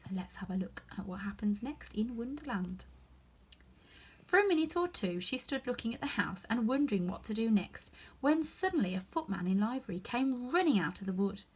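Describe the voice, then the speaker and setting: A woman reading a children's story aloud in an expressive narrating style. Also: fairly high pitch, moderate pace, breathy edge, rough recording. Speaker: storybook reader.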